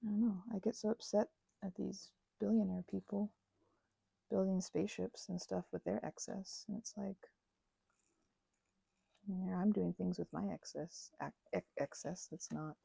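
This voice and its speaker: Female ASMR rambler, husky and dark-toned, earnest, wandering delivery with plenty of self-correction, close-miked. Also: mid-range voice, fairly even intonation, unhurried, no room sound. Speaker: host of a craft ramble channel. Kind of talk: unscripted ASMR craft ramble.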